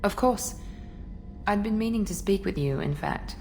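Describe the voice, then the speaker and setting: A woman's voice: mid-range voice, animated, normal speed, a little mellow, slight background noise, lightly acted. A woman playing a proud, cool elite operative in a video game, frank and composed. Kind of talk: video game character acting.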